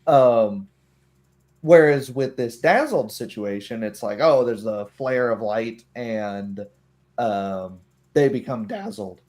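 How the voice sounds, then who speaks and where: A man's voice: medium pitch, takes it slowly, a little mellow. Man casually explaining tabletop game rules. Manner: explanatory, acting out short hypothetical remarks.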